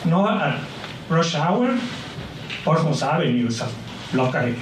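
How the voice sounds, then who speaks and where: A man giving a public comment at a town meeting, earnest and halting, with uneven grammar. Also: on the high side, animated, a little hiss or hum. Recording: echoey hall, picked up from a distance.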